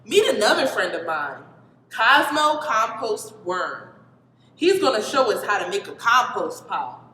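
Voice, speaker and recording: A woman's voice: medium pitch, big pitch swings, a little slow, pushed and bright. A woman voicing a friendly, helpful male trash can in a children's storybook, with a cheerful, folksy, instructive manner. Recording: reverberant hall with background noise.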